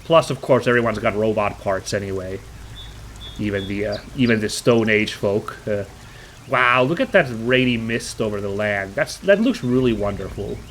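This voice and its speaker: A man's voice: fairly low pitch, lively intonation, normal speed, a bit bright, slight background noise. Chatty American man narrating gameplay. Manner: chatty, rambling, admiring asides. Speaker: let's play commentator. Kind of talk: casual gameplay commentary.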